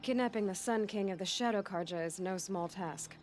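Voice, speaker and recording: An actress playing a determined young huntress in a video game, speaking plainly and asking direct questions. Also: female voice, medium pitch, fairly quick, darkish tone, faint music behind, natural voice. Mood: wary, questioning. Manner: plain, direct, matter-of-fact. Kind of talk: video game cutscene dialogue acting.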